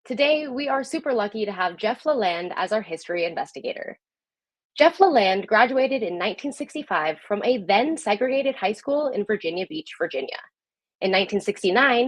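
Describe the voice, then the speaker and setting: Female livestream host, presenter-style delivery, mid-pitched, very little room sound. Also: dry recording. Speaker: host of a history livestream show. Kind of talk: scripted show introduction and interview.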